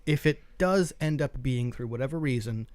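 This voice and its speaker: A man with a medium-pitched voice talks casually on a panel. He reasons aloud in a skeptical, questioning way, explaining as he goes. The recording is very dry. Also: animated, normal speed, darkish tone, no echo. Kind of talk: casual discussion.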